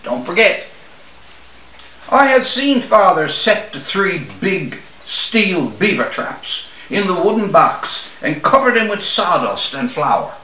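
An older man from Newfoundland reads a short story aloud in a measured, expressive way, with dramatic pauses. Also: high-pitched. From Newfoundland, Canada. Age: older, 60s or 70s. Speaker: author reading own short story. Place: small room at home or office, seated at a table. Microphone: camera a few feet away. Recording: roomy, distant microphone.

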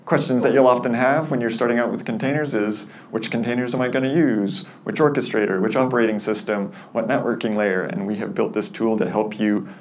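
A man's voice: medium pitch, fairly even intonation, a little fast, few pauses, a little hiss or hum. Upbeat man presenting with a headset mic. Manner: friendly, upbeat presenter with self-deprecating humor. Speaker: conference speaker. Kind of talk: lightning talk product demo. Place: conference room with audience.

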